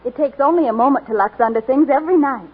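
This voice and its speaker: A woman's voice: high voice, fast, husky. An actress playing a girlish young woman in a 1940s radio soap commercial. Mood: earnest, girlish. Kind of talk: 1940s radio advertisement acting. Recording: muffled, fuzzy 1940s radio recording.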